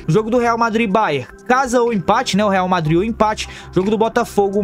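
Man, Brazilian accent, high-pitched, chummy betting tipster, faint music underneath. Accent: Brazilian Portuguese. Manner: upbeat, chummy, calls viewers "rapaziada" and "família". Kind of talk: scripted tips over screen recording. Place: voice-over for a screen recording.

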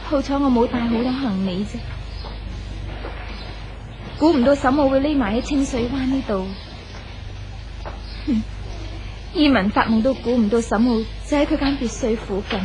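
A woman's voice: high voice, normal speed, pauses often, background noise, natural voice. A woman playing an earnest young woman devotedly in love in a 1970s radio drama, speaking emotively with a Hong Kong Cantonese accent. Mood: searching, polite. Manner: earnest, emotive, musing aloud. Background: music.

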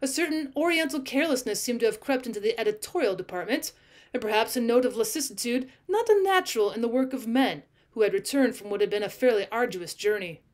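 A woman reading a classic short story aloud as scripted narration, expressive and deliberate, with emphasis on key phrases. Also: a little high. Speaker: teacher reading audiobook.

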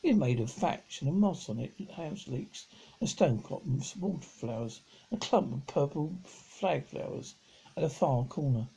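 Husky, halting middle-aged man reading aloud. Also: medium pitch, animated, dark tone, poor audio. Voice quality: husky, gravelly. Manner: halting, stumbles and rereads words. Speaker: amateur audiobook reader. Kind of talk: reading a children's novel aloud. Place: living room at home, on a sofa.

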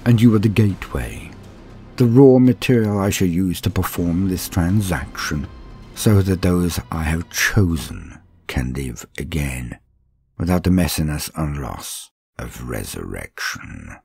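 A man voicing a cruel, proud alien torturer-sorcerer in a dramatic audiobook reading, speaking with slow, gloating menace and whispered edges. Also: low voice, animated, a little mellow, soft background music.

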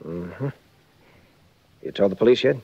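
An actor playing a terse, guarded, wealthy husband in 1940s radio acting style. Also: male voice, low-pitched, lively intonation, a little slow, gravelly, breathy edge, no room sound, full character voice. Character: guarded wealthy married man, reluctant businesslike client. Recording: muffled, lo-fi 1940s radio recording.

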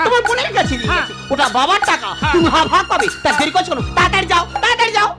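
A woman's voice: extremely high pitch, sing-song, very fast. A woman playing a haughty, money-minded, bossy young wife in melodramatic folk stage drama, with a cutting, imperious delivery. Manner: cutting, imperious, dropping English phrases. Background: loud music.

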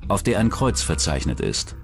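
A man's voice: low voice, sing-song, moderate pace, gravelly. A man delivering scripted true-crime narration as the narrator of a documentary. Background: music.

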